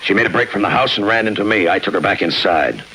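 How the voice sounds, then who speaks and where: Low, hard-edged sardonic police lieutenant. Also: male voice, fast talker. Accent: American. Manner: clipped, deadpan, needling wisecracks. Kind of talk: 1950s radio crime drama acting. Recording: noisy old 1950s radio broadcast.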